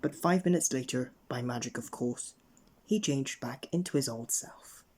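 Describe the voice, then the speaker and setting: A man narrating a children's bedtime story in an expressive read-aloud manner. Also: mid-range voice, moderate pace. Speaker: storybook reader.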